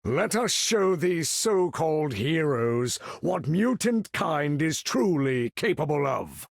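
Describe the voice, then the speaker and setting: Aging mutant leader, husky, slow, grand, commanding, theatrical, video game villain-hero. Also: male voice, on the high side, big pitch swings, dry recording, studio quality, full character voice. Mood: resolute. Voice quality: gravelly, husky. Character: proud aging mutant master of magnetism, imperious male leader. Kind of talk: video game character voice acting.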